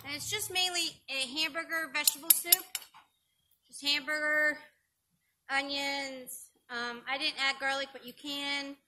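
A woman's voice: sits high, steady tone, unhurried, pushed and bright, slight background noise. A middle-aged woman chatting through an outdoor open-fire cooking demonstration, explaining step by step with frequent ums, picked up by a camera a few meters away in a grassy yard. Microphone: camera on a stand a few meters away.

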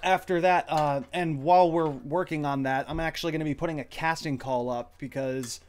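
A man with a fairly high voice hosts a relaxed group Q&A. He speaks haltingly and with a giggly edge, like someone chatting among friends. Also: clear, resonant tone. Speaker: host and project lead of fan dub. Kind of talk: casual group Q&A chat.

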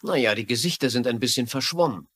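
A man's voice: mid-range voice, animated, quick pace, fairly bright tone, dry recording. An actor playing a blunt, sarcastic married man in a dubbed crime drama. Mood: sardonic. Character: gruff, sarcastic married man grumbling about his freeloading uncle. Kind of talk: German dubbed TV crime series acting.

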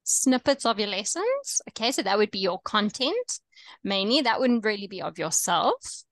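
A young woman with a South African accent, coaching online teachers over a video call in a relaxed, chatty way with frequent ums. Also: medium pitch, moderate pace, dry recording. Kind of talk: webinar presentation.

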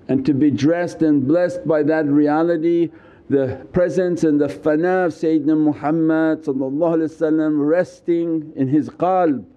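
A man's voice: a little high, muffled recording. An older man teaching a spiritual lecture, seated indoors and speaking into a small stand mic with emphatic gestures. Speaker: religious teacher. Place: indoors, seated on ornate couch before curtains. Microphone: small mic on stand in front.

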